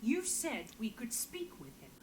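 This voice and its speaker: A woman's voice: a little high, huge pitch swings, measured pace, slight background noise. A woman voicing a blunt, impatient marshal in a video game, with a demanding manner. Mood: demanding, irritated.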